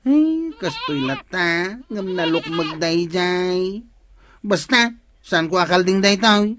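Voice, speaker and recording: An earnest Ilocano goat owner in a radio comedy drama. His voice sits slightly high, and he talks in a plaintive, insistent way like someone with a grievance to air. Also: animated, takes it slowly, background noise. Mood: baffled, upset. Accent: Ilocano Filipino. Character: aggrieved earnest goat-owning villager, respectful nephew.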